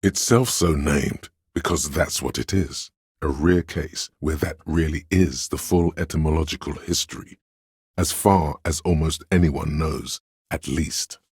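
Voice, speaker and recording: An actor playing a pedantic encyclopedic inner voice in a video game, a man reciting obscure facts in a measured, erudite, matter-of-fact way. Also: a little mellow, no echo, clean studio sound, full character voice. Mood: erudite, insistent. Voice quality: very deep, gravelly, husky. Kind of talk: scripted game narration of trivia and facts.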